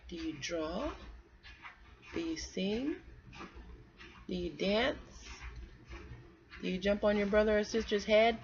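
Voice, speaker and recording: A woman from North Carolina reading aloud to children in a conversational way, pausing to put questions to the young listeners. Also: mid-range voice, a little mellow, dull audio, slight background noise. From North Carolina, United States. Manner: conversational, asks the listener questions and pauses for answers.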